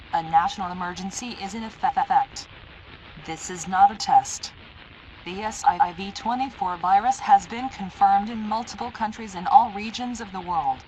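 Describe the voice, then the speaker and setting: A synthetic female voice playing a stern, impersonal emergency alert announcer, reading formal, deliberate warnings in an analog horror broadcast. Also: medium pitch, darkish tone, a little hiss or hum, natural voice, poor audio.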